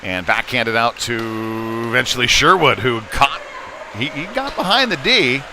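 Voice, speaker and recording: A man with a mid-pitched voice gives live hockey commentary over clear rink noise. His delivery is folksy and chatty, picking up energy as the play develops. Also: expressive, measured pace. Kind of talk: live sports commentary with casual asides. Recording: noisy live rink ambience.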